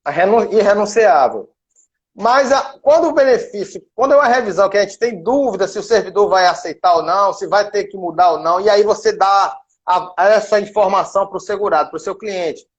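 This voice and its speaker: A middle-aged man from Salvador with a softened Bahian accent, a lawyer and professor explaining cases through worked examples in a live stream. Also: sits high, fairly quick, dull audio. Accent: Bahian Brazilian Portuguese, softened. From Salvador, Bahia, Brazil.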